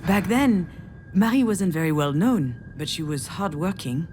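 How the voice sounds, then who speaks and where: A woman's voice: fairly low pitch, lively intonation, measured pace, a little mellow, slight background noise. An actress playing a reflective woman in her thirties recalling her childhood in a game, musing and hesitant in a confiding way. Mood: reminiscing. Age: 30s. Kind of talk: introspective scripted game narration. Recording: music behind the voice.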